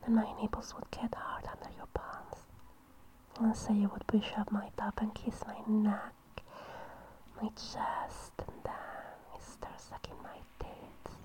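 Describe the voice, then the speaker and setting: A woman speaking in a soft, breathy whisper, teasing and affectionate as a girlfriend in an intimate audio roleplay. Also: mid-range voice, monotone, unhurried, poor audio.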